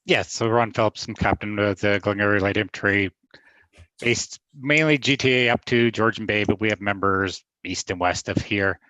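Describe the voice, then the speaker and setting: A man's voice: fairly low pitch, moderate pace, sharp tone, no echo. A man and unit captain giving an easygoing, rambling report on a muffled call. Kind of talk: casual online meeting report.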